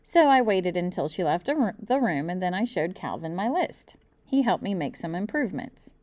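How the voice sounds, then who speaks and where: Animated woman reading a children's story. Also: on the low side, normal speed, dry recording. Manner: animated, acts out the dialogue. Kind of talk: expressive audiobook-style narration in the first person.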